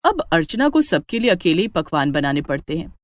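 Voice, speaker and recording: Animated woman narrating a family story. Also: sits low, a little fast, no echo, clean studio sound.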